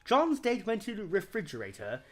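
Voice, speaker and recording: A man's voice: sits high, wildly swooping pitch, fairly quick. A man narrating a comedic story reading in a theatrical, mock-dramatic manner. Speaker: fan fiction reader.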